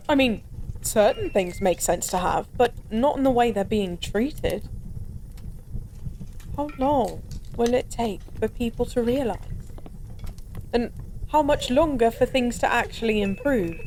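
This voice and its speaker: A woman performs a forgotten goddess drinking as a nameless stranger, in a slightly high feminine voice. She confides wistfully and hesitantly, rambling with weary melancholy. It is an intimate audio drama monologue with ambient bar noise behind it. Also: animated, a little slow, pauses often, darkish tone. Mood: brooding. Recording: clear background ambience noise.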